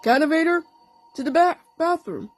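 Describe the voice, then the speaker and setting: A woman's voice: extremely high pitch, expressive, moderate pace, faint music behind. A woman voicing a chatty cartoon DJ cat in an audio play, casual and coaxing.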